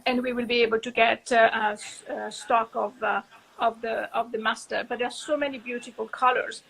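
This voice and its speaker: A woman's voice: fairly high pitch, fairly even intonation, fairly quick, gravelly, a bit bright, breathy edge, rough recording. A woman with an Italian accent hosting a casual live video chat, talking loosely with many fillers and restarts. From Italy. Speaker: host.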